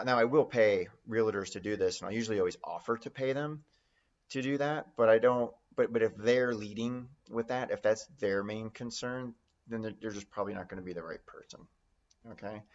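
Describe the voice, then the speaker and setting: Low-voiced man teaching, muffled audio. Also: normal speed. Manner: conversational, role-plays example phone calls. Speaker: real estate note investing instructor. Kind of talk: informal instructional talk.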